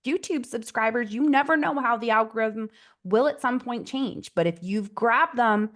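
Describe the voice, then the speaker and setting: A woman's voice: a little high, normal speed, a bit bright. Affirming woman hosting an interview. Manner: affirming, summarizes the guest's points before asking questions. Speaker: podcast host.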